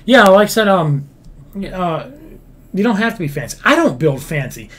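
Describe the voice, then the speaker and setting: A man's voice: high-pitched, big pitch swings, normal speed, fairly bright tone. A man hosting a casual livestream, reading viewer comments and answering them bluntly, swearing freely and rambling through lots of fillers and restarts. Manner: profane, blunt. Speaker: livestream host, game builder.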